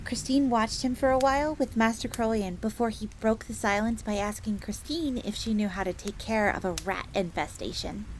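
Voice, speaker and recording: A woman's voice: on the high side, normal speed, few pauses, close to an everyday voice. A woman playing an earnest, devoted young fox maid in a scripted fantasy roleplay audio drama, bookish and speaking of herself in the third person. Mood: eager storytelling. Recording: steady ambient noise, crackling fire.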